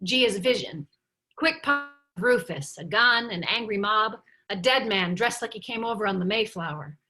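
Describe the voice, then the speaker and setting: A woman's voice: medium pitch, lively intonation, moderate pace, bright, forceful tone, poor audio. A woman narrator reading stage directions from a script at a table read.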